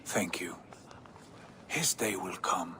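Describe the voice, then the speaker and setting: A man's voice: deep voice, slow pace. An actor playing a grim, brooding Viking clansman in an understated video game performance. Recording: clear ambient noise behind.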